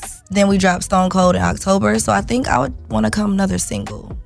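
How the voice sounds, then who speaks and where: A raspy-voiced young woman, a guest rapper, chatting casually in an interview and trailing off with lots of "like"s. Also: mid-range voice, a little fast, faint music behind. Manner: relaxed, trailing off with many "like"s.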